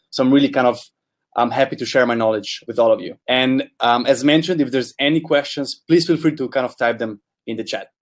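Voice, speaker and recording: Young non-native English speaker, male, earnest webinar presenter, mid-pitched voice. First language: not English. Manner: earnest, repeats words, uses "kind of" often. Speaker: guest speaker, product specialist.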